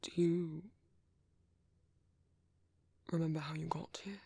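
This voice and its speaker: A British man playing a gentle, protective vampire priest in an ASMR roleplay, speaking in hushed, hesitant, soothing whispers. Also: fairly high pitch, flat delivery, slow, vocal fry, husky, no room sound, exaggerated voice. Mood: hesitant, apologetic. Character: gentle, soft-spoken male vampire priest, protective caretaker.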